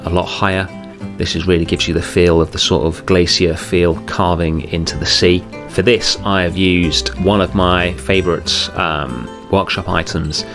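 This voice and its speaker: Young guy, really low voice, chill game build commentary with some ums, guitar music under it. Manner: easygoing, steady, with frequent ums and uhs. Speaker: game channel host. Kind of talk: relaxed build commentary over gameplay.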